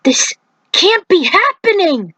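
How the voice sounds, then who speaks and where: A woman acts out a loyal warrior monk from a fantasy story, alarmed and urgent. The pitch is pushed high and the recording is dry. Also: very animated, takes it slowly, bright, forceful tone, dry recording, exaggerated voice.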